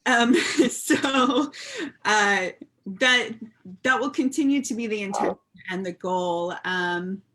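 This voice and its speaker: A woman giving an unscripted update on a group video call, with hesitant pauses between thoughts. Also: medium pitch, fairly bright tone, a little hiss or hum.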